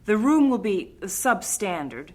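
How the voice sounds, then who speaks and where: A brisk, frank helper in an audio drama. Her husky voice swings widely in pitch as she speaks with emphasis. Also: very animated, a little mellow.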